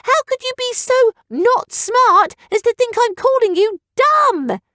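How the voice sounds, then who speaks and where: A woman voicing a touchy, self-important person in a crowd, shrill and indignant. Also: animated, measured pace, pushed and bright, no echo, studio quality, exaggerated voice.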